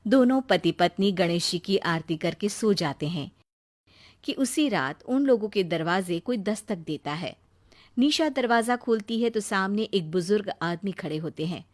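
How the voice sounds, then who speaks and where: A woman's voice: mid-range voice, animated, measured pace. A woman narrating a scripted moral story as a storyteller.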